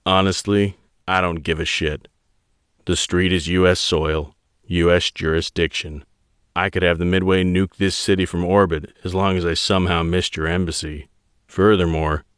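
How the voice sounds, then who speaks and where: A man voicing a stern, commanding navy admiral who speaks in slow, icy, clipped orders. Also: low voice, fairly bright tone, clear, resonant tone, no room sound, clean studio sound. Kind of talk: audiobook character voice.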